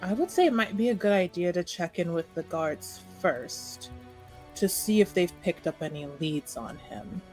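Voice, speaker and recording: A woman's voice: fairly low pitch, animated, normal speed, darkish tone, soft background music, natural voice, poor audio. A woman playing a coy, gossipy masked lady in an improvised tabletop roleplay, with a theatrical, faux-scandalized manner.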